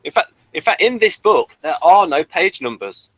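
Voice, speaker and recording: A man with a very animated, up-and-down delivery, recorded close and dry. He chats casually as a review co-host, stumbling over and repeating the starts of his sentences. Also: high voice, normal speed, dry recording, rough recording. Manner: stammering, repeats phrase starts. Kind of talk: casual unscripted review chat.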